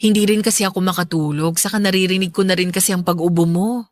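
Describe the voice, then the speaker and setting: Actress as a worried middle-aged mom, lowish voice, gentle n fretful, filipino radio drama. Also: female voice, fairly even intonation, fast, no room sound, clean studio sound, lightly acted. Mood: caring. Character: caring, worried middle-aged mother fussing over her daughter.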